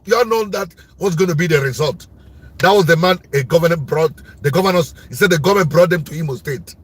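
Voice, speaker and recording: Loud, husky-voiced Nigerian man ranting. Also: high-pitched, no echo. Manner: forceful, repetitive, preaching tone. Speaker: commentator. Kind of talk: impassioned political commentary.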